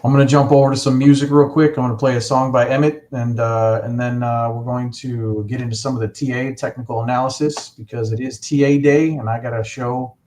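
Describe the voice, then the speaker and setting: A man's voice: mid-range voice, little pitch movement, a little fast, hardly any pauses, darkish tone, slight background noise. A man hosting a casual livestream, chatting enthusiastically and rambling with lots of fillers. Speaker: livestream host.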